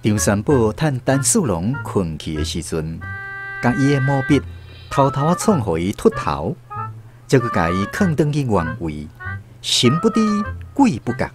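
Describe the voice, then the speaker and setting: A man with a Taiwanese accent narrating a scripted radio drama as an expressive storyteller. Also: medium pitch, a little slow, music in the background.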